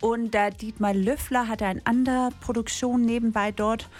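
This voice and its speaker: A woman from Denmark with a Danish accent, chatting as a guest on a radio talk show, lively and quick to laugh, with many small hesitations. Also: on the high side, faint music behind. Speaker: guest musician and actress. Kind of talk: radio interview chat.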